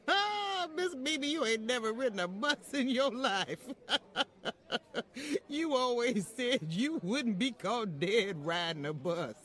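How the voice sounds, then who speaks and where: A woman's voice: on the high side, animated, slow, a bit bright. A woman playing an older Southern housekeeper and grandmother, plain-spoken and wry, in an old-time radio style drama. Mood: laughing, teasing. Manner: wry, chuckling, deferential but firm.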